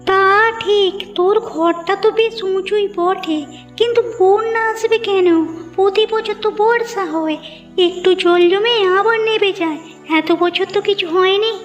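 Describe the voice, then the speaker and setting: A woman playing a doubtful, cautious village neighbour in a cartoon story, talking in a brisk, questioning way. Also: very high-pitched. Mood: doubtful concern. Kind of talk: cartoon moral-story voice acting. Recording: muffled audio with music behind.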